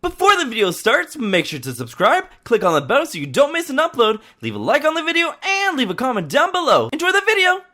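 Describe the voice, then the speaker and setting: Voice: high voice, wildly swooping pitch, brisk, hardly any pauses, bright, forceful tone. A video channel host reading an upbeat, salesy promo with music behind.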